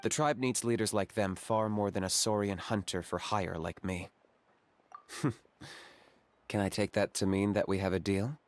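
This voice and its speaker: Cool-headed hired hunter, lowish voice, dry and matter-of-fact. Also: male voice, fairly even intonation, a little slow. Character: cool young male hunter for hire, calm and pragmatic. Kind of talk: video game dub.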